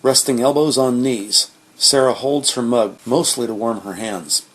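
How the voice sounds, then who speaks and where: A man's voice: mid-range voice, unhurried, fairly bright tone, husky, rough recording. A man reading scripted descriptive narration for an audio drama.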